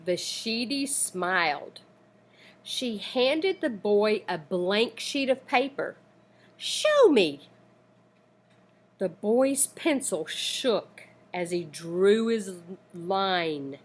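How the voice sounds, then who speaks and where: A woman reading a children's picture book aloud in an expressive, deliberate, dramatic storytelling manner. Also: mid-range voice.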